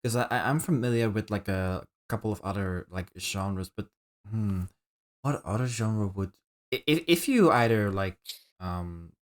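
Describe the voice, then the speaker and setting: A man hosting a casual podcast, asking and answering in a halting, polite way. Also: fairly low pitch, lively intonation. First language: Dutch. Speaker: podcast host. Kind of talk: casual podcast interview chat.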